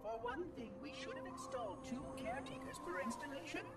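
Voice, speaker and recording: A woman's voice: high-pitched, lively intonation, a little fast. A woman playing a lonely, unraveling machine caretaker in a science fiction game, musing to itself in formal, precise phrases. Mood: wistful, rambling. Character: lonely, unraveling AI monitor machine guarding an ancient installation. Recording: distant, with music playing behind.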